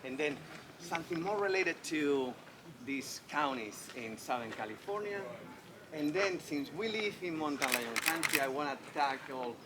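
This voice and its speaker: A man's voice: on the high side, animated, moderate pace. A man from Spain with a Spanish accent, a wildlife veterinarian giving a friendly, enthusiastic public talk at an outdoor festival. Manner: enthusiastic, friendly, asks the audience questions. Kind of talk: public lecture. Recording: noisy outdoor festival recording.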